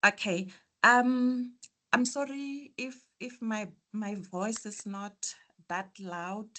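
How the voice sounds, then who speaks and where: A woman with a soft, quiet voice answering members' questions in an online meeting, hesitant with many pauses and ums. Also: mid-range voice. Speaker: association official answering members.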